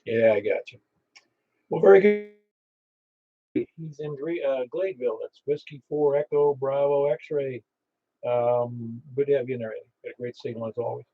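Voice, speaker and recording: A man running a ham radio net, chatting in a relaxed way and reading out call signs, heard over a muffled radio receiver. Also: mid-range voice, moderate pace. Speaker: net control operator.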